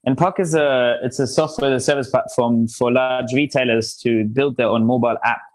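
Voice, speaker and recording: A man with a medium-pitched voice speaks as a podcast guest. He explains things casually and off the cuff, in a very dry recording. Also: fairly even intonation, brisk, dry recording. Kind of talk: casual company introduction.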